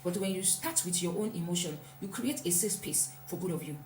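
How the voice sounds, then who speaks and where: A woman's voice: on the low side, animated, a little fast, darkish tone. A woman giving an earnest, reflective motivational talk and repeating points for emphasis. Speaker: vlogger. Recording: echoey room, faint hum.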